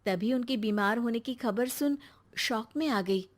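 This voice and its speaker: A woman's voice: a little high, measured pace. A woman narrator reading a scripted moral tale as storytelling.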